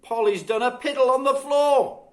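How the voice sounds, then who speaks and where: A man voicing excitable, whiny young children squabbling in a children's story, plaintive and shrieking. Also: extremely high pitch, normal speed, voice pushed up. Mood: tattling.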